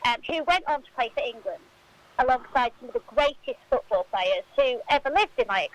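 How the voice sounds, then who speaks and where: A woman's voice: high voice, sing-song, brisk, low-quality recording. A woman speaking as a football club spokesperson, giving answers in a radio interview.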